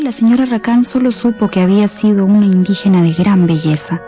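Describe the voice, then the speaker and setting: A woman narrator telling a scripted story for a radio novel in a measured, expressive, dramatic way. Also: medium pitch.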